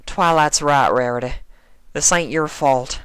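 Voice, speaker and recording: A man's voice: a little high, unhurried, a bit bright, clean tone, pitched above the performer's own voice. A man voicing a blunt, stout-hearted female country pony with folksy speech. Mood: guilty, insistent.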